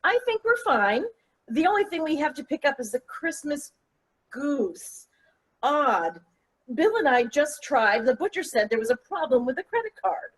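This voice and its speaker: A woman's voice: high-pitched, very animated, moderate pace, rough recording. A woman playing a prim, skeptical mother of a grown son, with clipped delivery in a play reading. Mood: coolly polite. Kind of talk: virtual table read of a stage comedy-drama.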